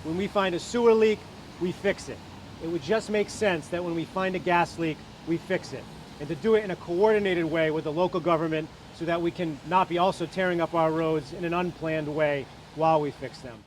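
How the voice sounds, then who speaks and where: Higher-pitched man speaking outdoors. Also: brisk. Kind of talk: public statement to press. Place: outdoors in town. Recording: outdoor traffic noise.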